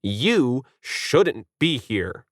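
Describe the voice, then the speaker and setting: A man's voice: medium pitch, very animated, drawn-out words, no echo, clean studio sound, pitched above the performer's own voice, heavily acted. A man voicing a bossy, fierce female dog in a story, growling out impatient orders. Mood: alarmed, fierce.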